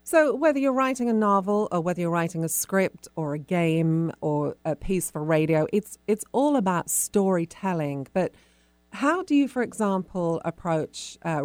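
A woman's voice: fairly low pitch, expressive, moderate pace, darkish tone, dry recording. A woman hosting a talk show, asking questions in a conversational interview style. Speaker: radio show host.

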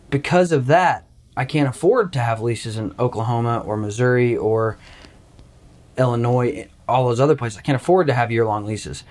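A young man from Arkansas hosting a hunting podcast in a casual, joking, rambling way. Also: medium pitch. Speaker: podcast host. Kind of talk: casual chat.

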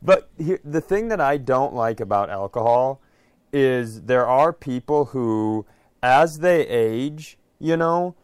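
A man's voice: mid-range voice, lively intonation, takes it slowly, smooth, dry recording, clean studio sound. A man co-hosting a podcast, rambling through stories and opinions in a wry, casual way. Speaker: podcast co-host. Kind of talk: casual comic chat.

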